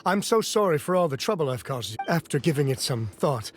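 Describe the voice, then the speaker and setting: A man's voice: a little high, big pitch swings, brisk, soft background music. A man voicing a nervous, apologetic character in a video game, speaking hesitantly and deferentially. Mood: apologetic, grateful. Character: nervous, apologetic adult patient or messenger.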